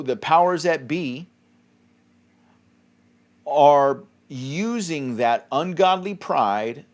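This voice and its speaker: A man in his forties from California, co-hosting a faith podcast, talking conversationally with many fillers and a frequent "okay?". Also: fairly high pitch. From California, USA. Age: 40s. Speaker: podcast co-host. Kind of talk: faith-centered podcast commentary.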